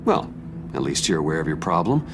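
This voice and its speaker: An actor playing a brooding, steadfast male vigilante in a video game, measured and earnest. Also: rumbling low, very animated, gravelly, full character voice. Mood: firm earnest. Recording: loud music behind.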